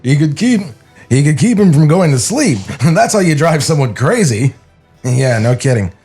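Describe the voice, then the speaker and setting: Man playing weary cartoon director, sincere, hesitant, widely varied pitch, faint music underneath. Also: very animated, normal speed, darkish tone, breathy edge, exaggerated voice. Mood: animated pitching. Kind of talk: acted screenplay table read.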